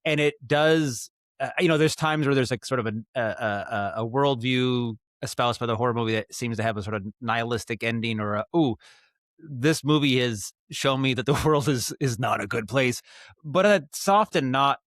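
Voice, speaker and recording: American guy chatting as a podcast guest, rambly and thoughtful, medium pitch, really dry sound. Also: normal speed, a bit bright, dry recording. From Maine, United States. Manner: rambling, thoughtful, repeats words as he thinks. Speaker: podcast guest, audio drama writer and director. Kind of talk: casual interview chat.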